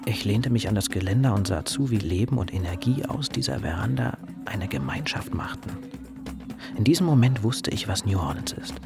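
An actor playing a moved, reflective volunteer house builder in a radio drama monologue. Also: male voice, on the low side, expressive, moderate pace, vocal fry, loud music behind the voice. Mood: moved, wondering. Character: moved volunteer house builder who stayed in New Orleans.

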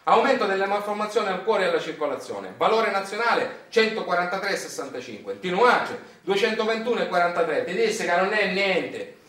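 A man's voice: sits high, expressive, brisk, hardly any pauses, a bit bright. An actor playing an anguished, bitter Sicilian father from the countryside in an emphatic, incantatory theatrical monologue with a Sicilian accent. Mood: furious, escalating. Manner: incantatory, emphatic, repetitive phrasing. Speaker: stage actor performing a monologue. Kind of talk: theatrical monologue, chanted and declaimed. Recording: roomy distant stage recording with music.

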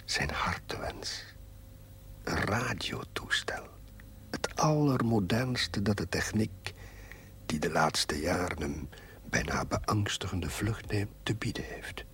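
An actor playing a reflective priest reading his diary in a formal, measured way, with a husky, breathy voice. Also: sits low, lively intonation, a bit bright, in a whisper, full character voice. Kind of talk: scripted diary narration in a drama.

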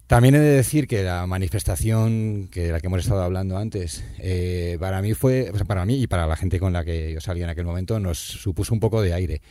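A man's voice: low-pitched, fairly quick, few pauses, mellow, no room sound, clean studio sound. A middle-aged man with a Spain Spanish accent, hosting a radio talk and speaking candidly and reflectively. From Madrid area, Spain. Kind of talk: casual radio chat.

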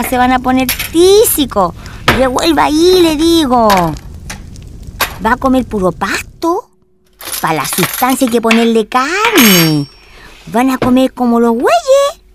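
Woman acting a slow, swooping Chilean neighbour lady. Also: sits high, full character voice. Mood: scolding. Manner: folksy, scolding, motherly, uses endearments. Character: chatty bossy motherly neighbour woman, former cooking teacher. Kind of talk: radio drama acting. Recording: noisy, clinking kitchen sounds.

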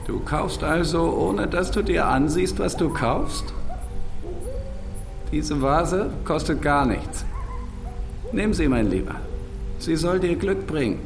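A man's voice: fairly high pitch, animated, slow pace, vocal fry, pauses often, full character voice. Man putting on a sly old junk dealer. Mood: sly, coaxing. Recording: music playing under the voice.

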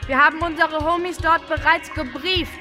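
A woman's voice: high voice, fairly even intonation, moderate pace, natural voice. A woman voicing a chirpy, cheekily matter-of-fact guide creature in a radio drama. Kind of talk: audio drama acting. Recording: radio play with music behind.

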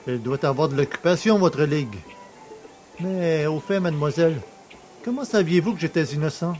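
A male actor plays a cheeky working-class poacher in a radio-style drama. His voice sits a little high, and he talks with familiar, colloquial swagger. Faint music plays behind him. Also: normal speed, a little mellow, lightly acted. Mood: curious. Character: cheeky, boastful working-class poacher, freed innocent and celebrated. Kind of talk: audio drama acting.

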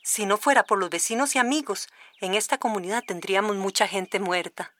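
A woman's voice: medium pitch, a little fast, a bit bright, lightly acted. An actress playing a grateful village mother who survived a disaster, in radio drama testimony. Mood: grateful, earnest.

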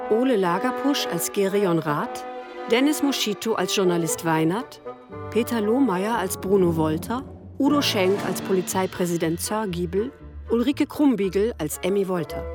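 Female announcer, medium pitch, scripted credit read over prominent orchestral music. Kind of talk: scripted radio drama credits. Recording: orchestral brass music behind the voice.

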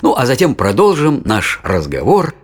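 An actor playing a patient father who explains science in a measured, didactic way in an educational audio play. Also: medium pitch, wildly swooping pitch, slightly rough.

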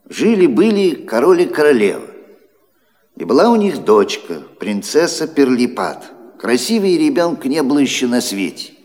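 A man's voice: mid-range voice, a little mellow. A kindly old godfather in a fairy-tale audio play. His intonation rises and falls dramatically as he narrates with teasing, grumbling theatricality. The voice rings in a long hall reverb.

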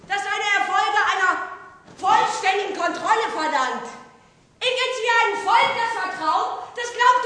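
Actress doing a defiant rebel woman, really high bright voice, big echoey theatre hall. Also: exaggerated voice. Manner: solemn, emphatic, repetitive rhetorical declaration. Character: defiant woman scientist turned rebel, denouncing the system. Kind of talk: declamatory stage acting. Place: large hall with stage. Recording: reverberant theatre hall, faint noise.

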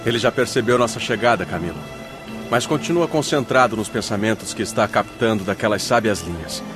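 A man's voice: fairly low pitch, expressive, fairly quick, music in the background, natural voice. An actor playing a learned, courteously authoritative male spirit instructor in a radio drama, formal and instructive. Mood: solemn explaining.

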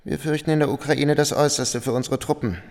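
An actor playing a cynical, smooth-talking army major and adjutant in a radio drama, polite and wry. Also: male voice, a little high, steady tone, fast talker, darkish tone, close to an everyday voice. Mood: polite, concerned. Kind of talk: German radio drama acting. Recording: long reverberant hall.

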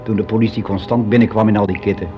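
An actor playing a lonely, timid, regretful office employee of sixty in a radio monologue, speaking in a halting, confiding, colloquial way. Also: male voice, fairly low pitch, steady tone, music in the background, natural voice. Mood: uneasy, confiding. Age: 60s. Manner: halting, confiding, colloquial, with hesitant self-corrections. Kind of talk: conversational radio drama monologue. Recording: dull, lo-fi old radio recording.

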